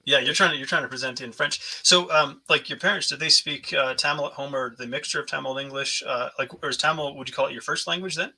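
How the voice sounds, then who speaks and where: A man's voice: medium pitch, quick pace, hardly any pauses, pushed and bright, breathy edge. A man hosting a podcast interview, chatty and rambling, often laughing as he talks. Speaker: podcast host. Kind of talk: casual interview chat.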